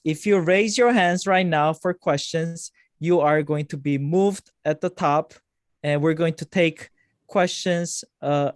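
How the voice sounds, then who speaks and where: A man's voice: fairly high pitch, no room sound. Man teaching music production on a call, dry sound. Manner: explains with many likes and restarts. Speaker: music producer hosting a masterclass. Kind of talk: teaching over a video call. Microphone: video call.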